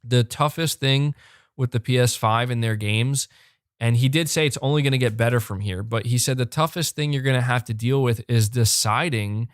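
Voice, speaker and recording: A young man hosting a live podcast, talking fast and animated, repeating words when fired up and losing his voice. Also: medium pitch, clear, resonant tone, no room sound, studio quality. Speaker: podcast host reading super chats. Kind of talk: excited live-stream chat. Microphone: close desk mic.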